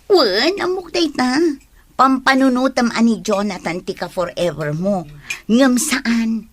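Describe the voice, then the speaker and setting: A woman's voice: on the high side, expressive, unhurried, a little hiss or hum. A woman playing a blunt, insistent best friend with an Ilocano accent in a radio drama. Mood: blunt, persuading. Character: blunt female best friend urging a break-up.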